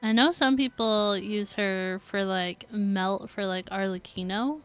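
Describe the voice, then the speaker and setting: A woman's voice: mid-range voice, a little slow, clean tone, faint music behind. A woman streamer chatting casually with viewers while she plays a video game. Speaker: live streamer playing a game. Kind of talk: casual gaming stream chat.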